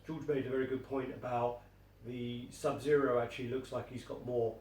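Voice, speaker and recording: A man's voice: medium pitch, flat delivery, moderate pace, a little mellow. A man co-hosting a casual statue review, hesitant and full of fillers, thinking aloud. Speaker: co-host. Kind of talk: casual chat. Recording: echoey room, mic at a distance, faint noise.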